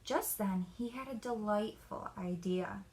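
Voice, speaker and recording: A woman reading a children's story aloud in an expressive, deliberate storyteller's manner. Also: mid-range voice, low-quality recording.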